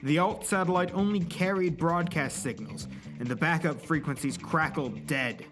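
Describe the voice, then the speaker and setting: A story narrator with a mid-range voice delivers scripted, dramatic prose. Soft music plays underneath the reading. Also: medium pitch, moderate pace.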